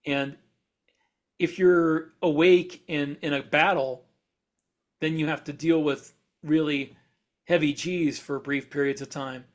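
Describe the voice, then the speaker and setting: A man's voice: a little high, fairly even intonation, slow pace, pauses often. A man co-hosting a podcast as an author, explaining things in casual shop talk with wandering, self-correcting sentences. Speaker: podcast host and science fiction author.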